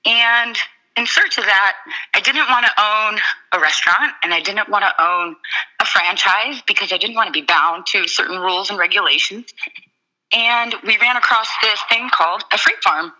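A woman, the guest, explaining her urban farm in a casual interview, steady with frequent ums. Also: medium pitch, moderate pace, rough recording.

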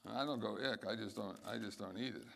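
A man's voice: on the low side, vocal fry, fairly bright tone. Male Bible teacher in a reverberant hall. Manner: halting, restarts phrases, slows on key words.

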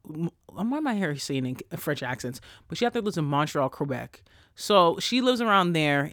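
A man hosting a casual solo podcast, ranting in an animated, profane way that swings between loud bursts and quiet asides. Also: a little high, no echo, clean studio sound. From Haitian family. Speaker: podcast host.

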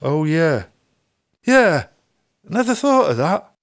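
Man acting male band manager, Northern English accent, creaky voice, blunt, offhand, matter-of-fact, audiobook dialogue. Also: on the high side, sing-song, slow pace, pitched above the performer's own voice, exaggerated voice. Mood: breezy.

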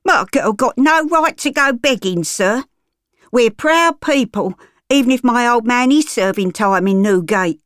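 A woman reading aloud gives voice to a harsh, scheming slum woman. She whines and threatens in a high, swooping voice, close and dry. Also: lively intonation, measured pace, a bit bright, no room sound. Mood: wheedling. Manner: whining, threatening. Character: harsh scheming working-class London woman.

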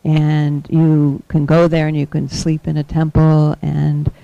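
A soft-spoken woman giving a gentle, measured talk as a guest teacher in a temple hall. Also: sits low, monotone, creaky. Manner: gentle, measured, with small laughs. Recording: dull lo-fi recording.

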